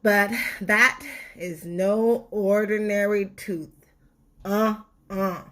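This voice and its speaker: A woman narrating a children's storybook expressively, with deliberate, dramatic phrasing. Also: mid-range voice, steady tone, a bit bright. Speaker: storybook reader.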